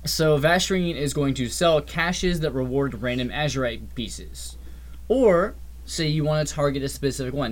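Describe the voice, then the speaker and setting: Guy cohosting a gaming podcast, rambly and explainy, middle pitch, lots of "like". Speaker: podcast co-host. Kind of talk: casual gaming chat.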